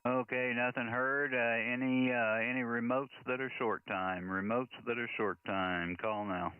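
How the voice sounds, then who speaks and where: Tinny narrow-band sound, faint noise, American man, radio net controller, deliberate and orderly delivery, phonetic spelling. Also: mid-range voice, steady tone. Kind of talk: procedural radio net announcements with phonetic call signs.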